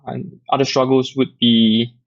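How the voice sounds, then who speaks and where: A young man with a Singaporean accent, hosting a casual late-night Q&A, reading questions aloud and answering in a relaxed, rambling way with plenty of fillers. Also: mid-range voice, measured pace, slight background noise. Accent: Singaporean English. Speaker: app community host answering questions. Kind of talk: casual livestream Q&A. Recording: dull lo-fi dry audio.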